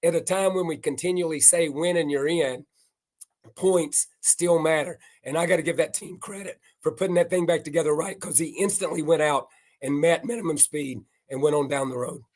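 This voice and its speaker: An older man with a hoarse, worn voice giving folksy, emphatic live analysis of a race. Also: fairly high pitch, normal speed, a little mellow. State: hoarse, losing his voice. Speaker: racing analyst.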